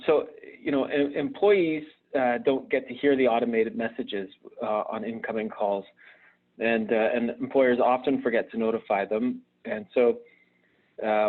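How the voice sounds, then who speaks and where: A man gives an instructional live webinar presentation, explaining things conversationally and haltingly, often hesitating and repeating words. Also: mid-range voice. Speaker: presenter at a virtual conference. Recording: narrow, phone-like online call audio.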